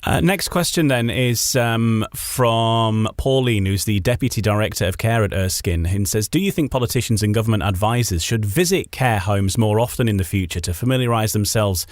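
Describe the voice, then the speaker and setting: A man's voice: lowish voice, normal speed, hardly any pauses, no room sound, studio quality. A man hosting a radio interview, presenting and reading out questions for his guest. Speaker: radio host.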